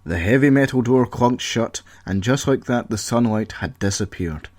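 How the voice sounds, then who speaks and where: A man's voice: medium pitch, lively intonation, moderate pace, a little mellow, no echo. A man reading a scripted audio story as its narrator.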